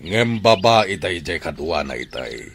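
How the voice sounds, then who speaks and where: A man's voice: deep voice, lively intonation, unhurried, slight background noise. A man acting a stern, suspicious older ranch master in a radio-style drama, with an Ilocano accent. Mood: suspicious, questioning.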